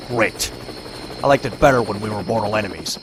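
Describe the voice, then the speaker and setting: An actor playing a wisecracking young vigilante antihero in a motion comic, with sarcastic, exasperated banter. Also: male voice, lowish voice, heavy background noise.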